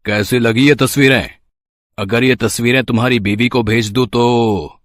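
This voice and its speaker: A man's voice: medium pitch, steady tone, moderate pace, heavy background noise, close to an everyday voice. A man playing a menacing, mocking blackmailer in an audio story, taunting and threatening. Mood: mocking menace.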